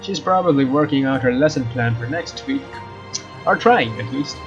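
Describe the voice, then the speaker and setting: A man's voice: medium pitch, lively intonation, normal speed, highs cut off. A man hosting a let's play, reading game text aloud with flippant, casual asides. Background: faint game music.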